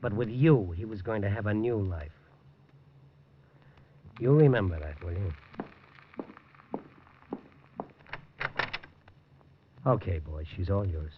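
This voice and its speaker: An actor playing a world-weary newspaper reporter who narrates his own story in a hard-boiled, low-key and wry way, in 1950s radio drama style. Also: animated, moderate pace, noisy background, lightly acted. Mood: somber. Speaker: first-person narrator and lead. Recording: dull lo-fi 1950s radio recording.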